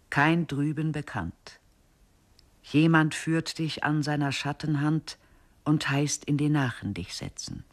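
Slow, solemn man reciting verse. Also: mid-range voice.

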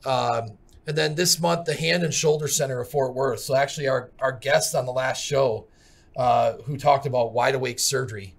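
A man's voice: mid-range voice, moderate pace. A man, hosting a podcast in a casual, folksy way with plenty of fillers, at a desk mic in a studio. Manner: relaxed, folksy, many fillers. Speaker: podcast host. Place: city studio with glass windows. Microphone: desk microphone on stand.

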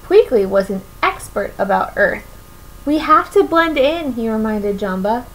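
High, animated young woman reading aloud. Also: slight background noise, poor audio. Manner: expressive, asks listeners questions. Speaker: children's story reader. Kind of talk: reading a picture book aloud to children. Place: small room at home, indoors.

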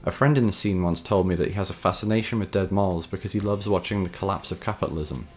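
A man with a low, deep voice narrates a scripted video essay over faint background music. His delivery is measured and conversational, with a wry, reflective touch. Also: a little mellow. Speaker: host and narrator of a video series.

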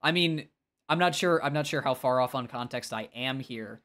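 A man's voice: medium pitch, moderate pace, a bit bright. A man with a nasal voice, talking casually and expressively as he livestreams a game and answers chat, often self-deprecating.

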